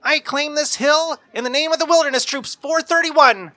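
A man voicing a tiny, bold boy scout creature in a high, screechy, yelling voice for a tabletop game. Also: normal speed, no room sound. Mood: triumphant. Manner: yelling proclamations and chants.

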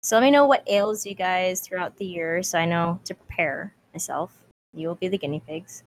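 A woman's voice: fairly low pitch, moderate pace, a little hiss or hum. A woman in her twenties co-hosting a podcast, chatting casually with playful, mock-dramatic complaining. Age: 20s. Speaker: podcast co-host. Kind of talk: casual chat.

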